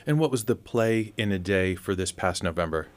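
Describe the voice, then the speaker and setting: A man hosting a conversational interview, asking measured, inquisitive questions as he goes. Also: low-pitched, animated, no echo.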